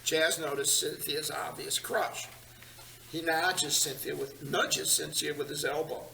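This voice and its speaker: A woman's voice: deep voice, fairly even intonation, a little slow, vocal fry, sharp tone. A woman narrating a screenplay at a table read, plainly reading the stage directions with small stumbles. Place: large meeting room. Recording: echoey room, distant mic, faint noise.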